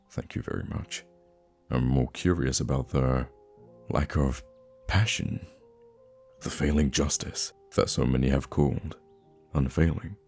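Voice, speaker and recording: A man playing a theatrical, easily flustered supervillain with a soft spot for his hero nemesis, in an ASMR roleplay monologue with a gravelly voice. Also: rumbling low, heavily acted. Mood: flustered, exasperated, then probing. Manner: dramatic, flustered, teasing, stammering when thrown off.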